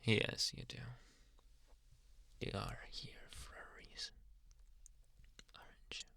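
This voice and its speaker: A man playing a possessive, softly menacing psychiatrist in an ASMR roleplay, coaxing in a hushed, breathy, deliberate voice. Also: no room sound. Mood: persuasive, possessive. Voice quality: breathy, gravelly low voice.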